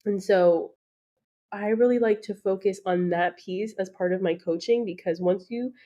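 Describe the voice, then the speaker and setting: A woman's voice: mid-range voice, normal speed, dark tone, highs cut off. A woman hosting her own podcast as a beauty business coach, talking casually and encouragingly with plenty of ums, a little nasal and congested from allergies. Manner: chatty, encouraging, many ums. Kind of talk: casual solo podcast talk.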